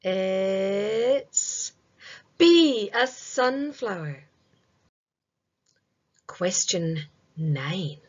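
A middle-aged woman reading a children's multiple-choice quiz aloud in a deliberate, sing-song way with pauses. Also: medium pitch, very animated, darkish tone, dull audio. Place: small room indoors.

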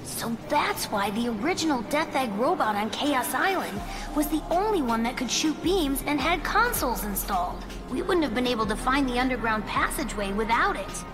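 Little fox kid inventor for a game, high voice, brave and earnest, loud music under it. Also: female voice, sing-song, normal speed. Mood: curious, explaining. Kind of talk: video game voice acting.

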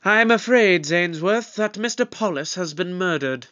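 A man acts out an eccentric, authoritative Doctor in an audio drama. The voice is high and bright, with formal, theatrical diction as he takes charge of an inquiry, on a slightly dull recording. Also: lively intonation, unhurried. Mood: grave. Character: eccentric, commanding alien Doctor leading a murder inquiry. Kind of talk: scripted audio drama acting.

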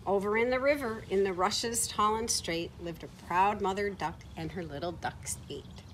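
A middle-aged woman reading a picture book aloud to children in an expressive way, recorded outdoors. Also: mid-range voice, normal speed, rough recording. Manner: expressive, acting out short quoted words. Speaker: children's story time reader. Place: outdoors on a farm, beside horses. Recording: outdoor ambient noise from camera mic.

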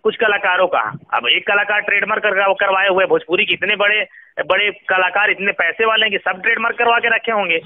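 High-pitched Bihari man on a tinny phone. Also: brisk, no echo. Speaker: phone interviewee. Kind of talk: argumentative phone conversation. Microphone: telephone. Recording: tinny, band-limited phone call.

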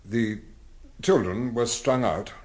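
Actor as hesitant gentleman witness. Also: mid-range voice, close to an everyday voice. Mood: hesitant, careful. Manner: hesitant, stammering, measured. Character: careful, reluctant gentleman witness.

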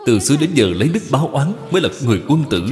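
A man voicing a gentle, dutiful young wife in a cartoon moral tale, speaking formally and persuasively. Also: mid-range voice, sing-song, rapid-fire, natural voice. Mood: earnest pleading. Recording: long reverb, music behind.

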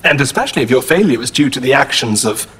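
A man playing a formal, matter-of-fact robot tactical coordinator in an audio drama, measured and detached. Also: mid-range voice, lively intonation, fairly bright tone, husky.

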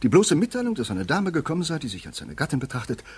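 An actor playing a brisk, formal defence lawyer in a 1950s radio play, officious and deferential. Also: male voice, on the high side, wildly swooping pitch, slightly rough, heavily acted. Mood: officious, concerned. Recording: noisy 1950s radio recording.

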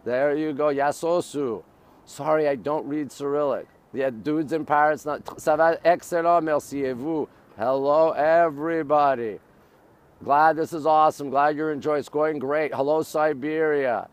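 A man's voice: on the high side, fairly even intonation, a little slow, smooth. A middle-aged man from Montreal with a North American accent, chatting casually as a live-stream host in short, repetitive, exclamatory bursts. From Montreal, Canada. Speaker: live-stream host showing city sights. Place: outdoors on an urban riverside.

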